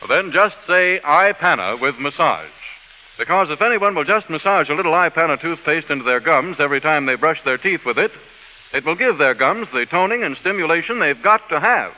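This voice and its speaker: In a long reverb, a stern, earnest judge for an old radio advertisement. The voice is forceful, very bright and somewhat high, with declamatory delivery. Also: male voice, expressive, a little fast. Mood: earnest, selling. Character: stern courtroom judge turned earnest toothpaste pitchman. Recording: reverberant 1930s radio broadcast.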